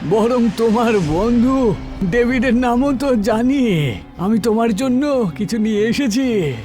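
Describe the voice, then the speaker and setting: A slow-talking, high-pitched sinister Santa acted out by a man for an animated horror tale. He draws out his words as he lures children, with music playing underneath. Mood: sly, luring. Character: sinister male Santa figure luring children with gifts. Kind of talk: animated horror story voice acting.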